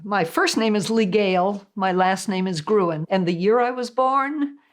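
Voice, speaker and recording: A woman in her eighties speaks about herself plainly and matter-of-factly. Her voice sits at a middling pitch. The tone is that of a calm documentary interview. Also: measured pace. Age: 80s. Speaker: retired probation officer, actor.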